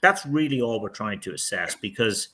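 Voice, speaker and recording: A man's voice: lowish voice, lively intonation, moderate pace, bright, forceful tone, husky. A middle-aged man, a real estate team leader, giving candid, rambling interview answers with frequent restarts. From outside the United States.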